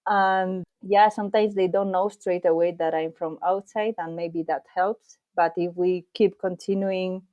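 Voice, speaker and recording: A woman from Spain, a guest answering interview questions in thoughtful, slightly halting English. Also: fairly low pitch, fairly even intonation, highs cut off. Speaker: podcast guest, bike traveller.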